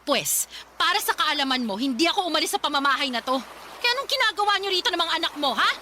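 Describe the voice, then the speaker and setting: A woman's voice: sits high, lively intonation, quick pace, bright, forceful tone, slight background noise. A woman playing a fiery, confrontational betrayed girlfriend in a melodramatic radio drama, scolding shrilly and emphatically. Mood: indignant. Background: background music.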